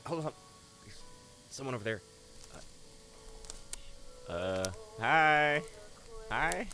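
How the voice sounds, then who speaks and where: An actor playing a wry, hopeful young escaped prisoner with an awkward, dry manner in an audio drama. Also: male voice, mid-range voice, lively intonation, takes it slowly, sharp tone, soft background music, exaggerated voice. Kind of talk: naturalistic audio drama acting. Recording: noisy vehicle ambience behind.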